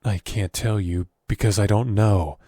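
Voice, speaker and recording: A man playing a wary, evasive captive governor in an audio drama, guarded and hesitant, with a husky voice. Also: lowish voice, steady tone, a little slow, warm tone, dry recording, clean studio sound. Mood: grave, wary. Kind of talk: audio drama roleplay acting.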